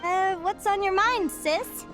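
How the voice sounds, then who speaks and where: A woman playing a cheerful, stubbornly optimistic young adventurer in a video game, bubbly, excitable and earnest. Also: very high-pitched, slow, soft background music, full character voice. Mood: puzzled, concerned. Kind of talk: animated video game voice acting.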